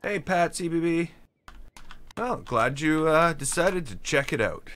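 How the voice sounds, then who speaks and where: A man streaming a game, chatting casually and haltingly, answering chat while he builds. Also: on the high side, animated, fairly bright tone, slight background noise. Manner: rambling, halting, answers chat between tasks.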